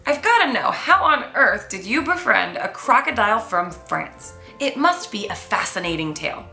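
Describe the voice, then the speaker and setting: Woman voicing a bright, high-pitched excitable girl kitten. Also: moderate pace, creaky, roomy sound, soft background music, heavily acted. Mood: curious. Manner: bubbly, amazed, inquisitive. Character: chatty, curious, excitable little girl kitten.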